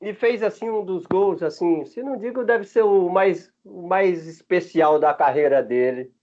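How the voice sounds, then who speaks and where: A man's voice: sits high, darkish tone. Chatty Minas Gerais man in his fifties. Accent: Minas Gerais Brazilian Portuguese. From Minas Gerais, Brazil. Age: 50s. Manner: chatty, laughs often, halting restarts. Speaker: former footballer, guest. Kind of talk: relaxed storytelling interview. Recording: dull lo-fi sound.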